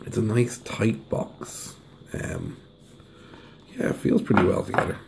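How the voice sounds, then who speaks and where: A hoarse man, chatting casually through an unboxing review and humming as he unwraps things. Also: on the low side, wildly swooping pitch, unhurried, very noisy. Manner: chatty, hums while unwrapping. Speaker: deck reviewer. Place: indoors at a table. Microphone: camera over the table filming hands.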